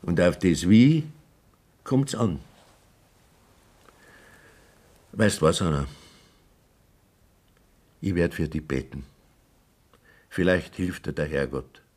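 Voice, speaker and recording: A Bavarian village priest speaks in a fairly low voice. He is strict about doctrine yet resigned, admonishing and sighing in folksy dialect, as in a radio drama. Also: takes it slowly, pauses often, darkish tone. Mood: helpless, resigned. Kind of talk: dialect radio play acting.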